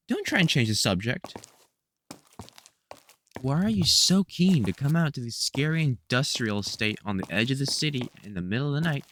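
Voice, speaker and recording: A man's voice: mid-range voice, a bit bright, a little hiss or hum. A man playing a protective, sardonic veteran vampire hunter in an audio roleplay, scolding fondly in colloquial speech. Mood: exasperated, teasing. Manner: colloquial, sardonic, scolding mentor with mild swearing. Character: gruff, protective veteran male vampire hunter, exasperated but fond. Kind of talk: scripted audio roleplay, one-sided conversation.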